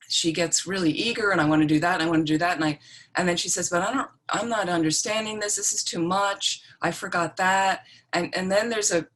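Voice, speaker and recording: A woman's voice: fairly low pitch, fairly bright tone, husky. A woman leading an online Buddhist class in a conversational, reassuring way, often checking that listeners follow. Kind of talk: informal dharma teaching with questions to participants. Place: online group video call.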